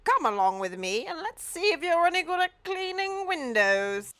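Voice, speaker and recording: A woman voicing a blustering, bossy but kindly old Duke in a children's storybook, loud and imperious. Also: very high-pitched, sing-song, normal speed, pitched above the performer's own voice, full character voice. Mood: gruffly accepting.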